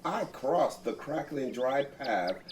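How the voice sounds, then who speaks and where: A man reading a children's picture book aloud, taking turns with a co-reader, slowly and expressively. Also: mid-range voice, animated, slight background noise. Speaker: co-reader of the story. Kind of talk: bedtime story reading.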